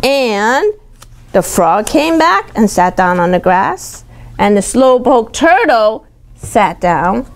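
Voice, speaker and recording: A woman's voice: fairly high pitch, slow pace. A woman reading a picture book aloud to a young child, expressively, with simple explanations and questions along the way. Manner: animated, simple child-directed phrasing, often repeating and asking questions.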